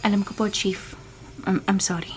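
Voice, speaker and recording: A woman's voice: medium pitch, level tone, normal speed, slight background noise, close to an everyday voice, low-quality recording. A woman playing an ambitious, earnest young race car driver in a Filipino radio drama. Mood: sorry, subdued. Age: young adult. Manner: earnest, deferential.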